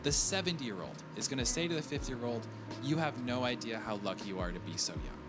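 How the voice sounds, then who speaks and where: An encouraging man in his thirties talks straight to viewers about creating art, earnest and reassuring. Clear music plays underneath his voice, and his pitch sits in the middle range. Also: a little fast. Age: 30s. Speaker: digital artist sharing advice. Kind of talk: motivational talk to viewers.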